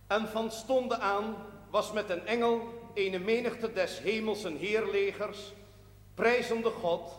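Echoing, high-pitched solemn priest. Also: male voice. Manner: solemn, measured, declamatory. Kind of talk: sermon in a period television drama. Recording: echoing church-like hall, long reverb.

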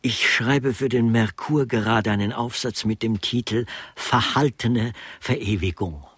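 An actor playing an offhand, self-absorbed literary critic in a satirical radio crime drama. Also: male voice, mid-range voice, measured pace, a bit bright, breathy edge, no echo. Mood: offhand, blasé.

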